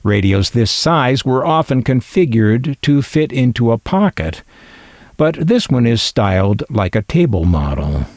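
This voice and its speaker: A man narrates a hobby video at an unhurried pace, his pitch moving freely up and down. He sounds wry and reflective, thinking aloud with dry humor. The recording is close and dry. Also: sing-song, a little mellow, no echo. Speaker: collector presenting vintage radio finds.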